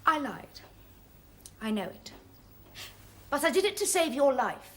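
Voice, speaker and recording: Woman acting a theatrical, proud young lady, lively high voice. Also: measured pace, full character voice. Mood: earnest, pleading. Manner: declamatory, noble posturing, emotional swings. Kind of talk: stage comedy acting.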